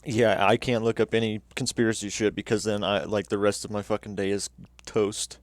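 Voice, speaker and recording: A man's voice: fairly low pitch, flat delivery, brisk, no room sound, studio quality. A young man hosting a livestream, chatting casually and cursing as he reacts to videos with viewers. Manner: laid-back, swears casually. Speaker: livestream host.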